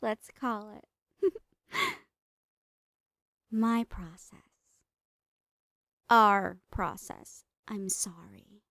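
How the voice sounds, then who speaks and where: A woman playing a smiling psychotic doctor in a horror ASMR roleplay, breathy and often whispering, soothing and falsely reassuring with drawn-out words. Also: mid-range voice, wildly swooping pitch, a bit bright, no room sound, clean studio sound, full character voice. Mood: falsely sweet. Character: smiling psychotic woman doctor, sweetly menacing mad scientist. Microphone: close microphone, dry.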